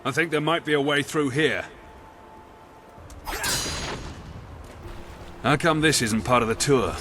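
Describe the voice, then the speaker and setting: A man's voice: medium pitch, brisk, background noise, close to an everyday voice. Man acting wry, watchful soldier companion. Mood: dry, wary. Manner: dry, wry asides. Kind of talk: video game character dialogue.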